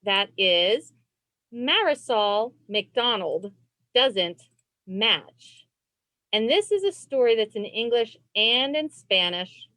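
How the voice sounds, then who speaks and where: A woman reading a children's storybook aloud as host, phrasing expressively and deliberately for young listeners on a video call. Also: on the high side, sing-song, sharp tone, dry recording. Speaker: librarian and read-aloud host.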